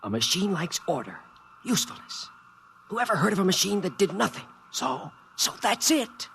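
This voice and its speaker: A man playing an excitable, scheming crewman, conspiratorial and halting, in a 1950s radio drama. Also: high-pitched, vocal fry, slight background noise, heavily acted. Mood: secretive. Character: scheming, excitable crewman hatching an escape plan.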